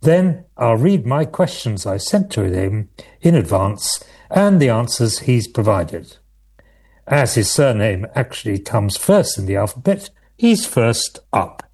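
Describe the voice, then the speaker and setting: A man hosting a local radio politics show, presenting candidates in a measured way with occasional hesitations. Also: medium pitch, big pitch swings, darkish tone, husky.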